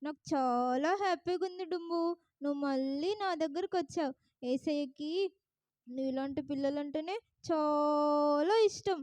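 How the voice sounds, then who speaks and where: A performer voicing a gentle, kind bible puppet in a kids' puppet show, soft and coaxing. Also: sits high, a little slow, no echo.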